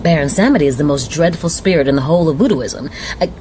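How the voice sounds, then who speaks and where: A composed, fearful woman on the run in a radio drama. Her voice is notably low for a woman, with grave, measured delivery. Noticeable train noise sits behind her. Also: expressive, warm tone.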